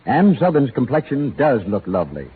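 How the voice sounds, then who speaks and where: Lively old-time radio announcer, a man. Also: medium pitch, moderate pace, slight background noise. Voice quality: polished, persuasive. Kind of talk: 1930s radio commercial announcing. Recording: tinny old radio broadcast.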